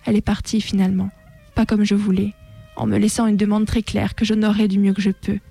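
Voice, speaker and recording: A woman reading a written first-person testimony aloud on the radio in a solemn, measured way. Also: mid-range voice, level tone, vocal fry, warm tone, husky, faint music behind. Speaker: radio show contributor reading a testimony on air.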